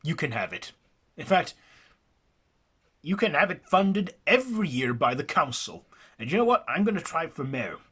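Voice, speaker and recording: A man voicing a blustering male councilman who makes loud, boastful promises. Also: mid-range voice, normal speed, pitched above the performer's own voice.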